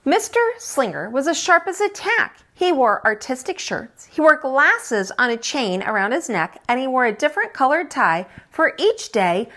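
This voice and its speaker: A woman reading a children's picture book aloud as a teacher, expressive and dramatizing, with asides about the pictures. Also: high-pitched, normal speed, few pauses.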